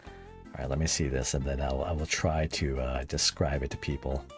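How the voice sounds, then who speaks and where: A man's voice: extremely low pitch, level tone, moderate pace. A man hosting a casual podcast interview, informal and a little hesitant in his phrasing. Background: soft guitar music.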